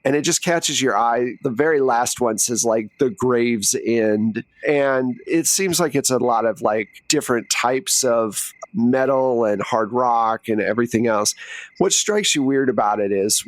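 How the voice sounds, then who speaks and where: A man's voice: medium pitch, measured pace, no room sound. A man narrating a tabletop role-playing game as host, in an informal, conversational way. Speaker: game master and host of an actual play podcast.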